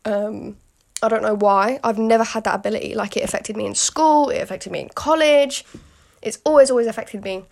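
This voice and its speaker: A woman's voice: on the high side, fairly quick, vocal fry, darkish tone. A British woman in her late teens talks candidly in a casual solo podcast, rambling with lots of fillers and asides to the listener. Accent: British English. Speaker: podcast host.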